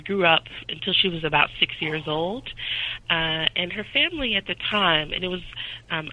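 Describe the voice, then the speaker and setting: A woman's voice: sits low, sharp tone, rough recording. A woman from the Midwest of the United States, a historian being interviewed about her book, speaking thoughtfully with frequent ums and uhs. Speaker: author and historian guest. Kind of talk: interview answers.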